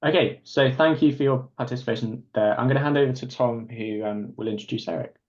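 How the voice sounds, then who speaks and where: A man's voice: medium pitch, fairly quick, muffled recording. A man hosting a forum discussion, thanking contributors briefly and inviting more to share. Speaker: host. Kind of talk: moderating a forum.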